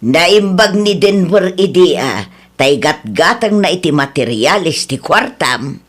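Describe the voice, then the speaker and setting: A woman's voice: fairly low pitch, lively intonation, slow. A woman playing a matter-of-fact confidante in an Ilocano radio drama, with a Filipino accent. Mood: explaining.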